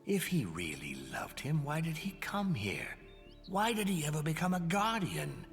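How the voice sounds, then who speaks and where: A man's voice: on the high side, huge pitch swings, moderate pace, faint music behind. A man voicing a sly, bitter video game guide in a theatrical, insinuating murmur. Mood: knowing, needling. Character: sly mysterious male guide, bitter, egging on rebellion.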